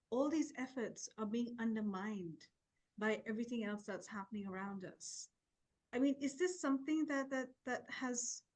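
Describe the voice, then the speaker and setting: A woman hosting an interview, asking thoughtful, searching questions and often restarting her phrases. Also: fairly high pitch. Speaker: host. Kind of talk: interview conversation.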